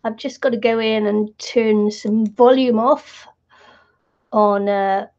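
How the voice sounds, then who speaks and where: An older woman hosting a crafting live stream from home, croaky from not talking, chatty with lots of fillers and laughs. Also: on the high side, fairly even intonation, slow pace, darkish tone. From New Zealand. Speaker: crafting channel host. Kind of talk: casual live stream chat. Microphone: computer mic on live stream. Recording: dull lo-fi stream audio.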